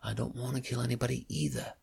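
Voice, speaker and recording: A man voicing a heated young crook, talking fast and swearing. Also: medium pitch, little pitch movement, creaky, a little mellow, breathy edge, voice pushed up, heavily acted. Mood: scornful. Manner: fast, heated ranting, profane. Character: agitated young male English crook.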